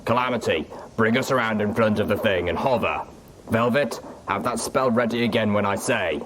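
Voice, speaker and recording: Gruff military ghoul stallion played by a male reader, low, terse, commanding, storybook; noisy home recording. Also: moderate pace, close to an everyday voice.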